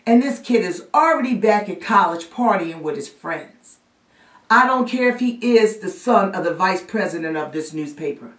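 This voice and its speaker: A woman's voice: medium pitch, expressive, moderate pace, fairly bright tone, voice pushed up, lightly acted. Lady acting as a fired-up woman reporter pleading her case, theatre monologue, roomy and far from the mic. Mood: indignant, pleading. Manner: indignant, emphatic pleading. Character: impassioned female newspaper reporter pleading with her editor. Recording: roomy echo, distant microphone.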